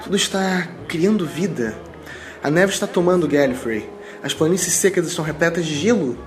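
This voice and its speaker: A man's voice: a little high, expressive, normal speed, close to an everyday voice. A man playing an earnest, worried aide to an alien president in an audio drama, speaking deferentially. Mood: uneasy. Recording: clear background ambience noise.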